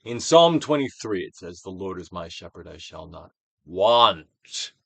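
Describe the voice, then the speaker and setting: A man giving a dramatic, theatrical reading of a self-help book, emphatic, with swells and hushed drops. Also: deep voice, lively intonation, measured pace, vocal fry, no echo. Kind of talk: theatrical dramatic reading of scripted instructional text.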